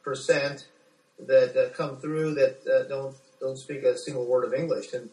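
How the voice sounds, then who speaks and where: A middle-aged American man answering interview questions as a doctor, speaking haltingly with repeated words. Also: medium pitch, flat delivery, warm tone. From United States. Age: 40s. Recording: echoey room, distant from microphone.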